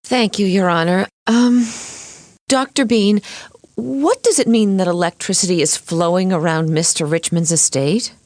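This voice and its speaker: A woman voicing a sensible but anxious cartoon lawyer with a measured, teacherly manner. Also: mid-range voice, a little mellow, no echo, studio quality, lightly acted. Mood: composed. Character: sensible, anxious female lawyer mentoring a boy. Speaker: lawyer character. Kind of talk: animated courtroom cartoon voice acting.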